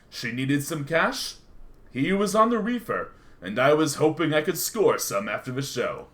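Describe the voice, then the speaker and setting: A man's voice: fairly high pitch, fairly bright tone. A man playing a hardboiled private detective, narrating his noir case in a wry, slangy radio-play style. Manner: dramatic, slangy, wry storytelling. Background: music.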